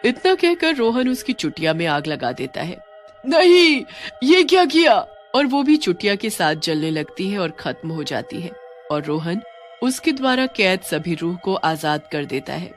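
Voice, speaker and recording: A woman's voice: on the low side, big pitch swings, normal speed, soft background music. A woman reading a scripted horror story as its narrator.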